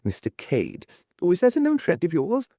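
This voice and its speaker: Giggly young lady tourist, a man's falsetto. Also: huge pitch swings, moderate pace, dark tone, no room sound, clean studio sound. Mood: gushing.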